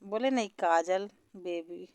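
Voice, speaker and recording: A woman's voice: lowish voice, takes it slowly, a little mellow, dry recording. A woman, calling as a fan on a recorded phone conversation, speaking in an earnest, pleading and repetitive way. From Bihar, India. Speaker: caller, fan.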